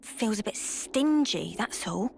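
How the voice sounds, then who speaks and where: A woman's voice: medium pitch, lively intonation, slow pace, vocal fry, darkish tone, slight background noise. An actress playing a cheeky, brash young woman in a video game, blunt and teasing. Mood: grumbling. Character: cheeky, brash, nosy young female party member. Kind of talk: video game cutscene acting.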